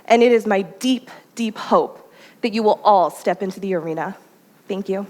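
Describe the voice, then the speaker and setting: A woman giving a prepared talk as a speaker, with deliberate, emphatic phrasing. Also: mid-range voice, very noisy. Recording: hall reverb.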